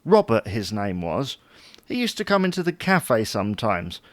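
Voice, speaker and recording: A man's voice: on the low side, very animated, normal speed, no room sound. A man playing a dry, long-suffering husband who placates his wife in a domestic audio drama. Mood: wry, grumbling. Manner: wry, dryly placating. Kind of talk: domestic comedy-drama dialogue.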